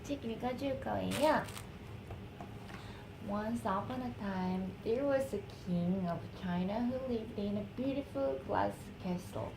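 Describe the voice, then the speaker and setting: A woman with a Korean accent, a native Korean speaker, reading a storybook aloud to her baby in affectionate, cooing baby talk with drawn-out repeated words. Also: mid-range voice, gravelly, background noise. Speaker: mother reading a picture book to her baby.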